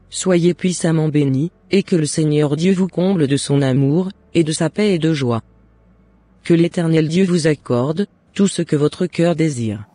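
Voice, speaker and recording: Woman, religious channel host, scripted blessing, measured devout delivery, dark soft timbre, dry recording. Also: deep voice, no echo.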